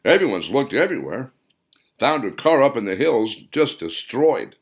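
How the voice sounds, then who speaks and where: Audiobook role: gossipy small-town shopkeeper and ex-military man, played by a male narrator, folksy, chatty, very animated pitch. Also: normal speed. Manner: folksy, chatty rural talk. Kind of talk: audiobook character dialogue.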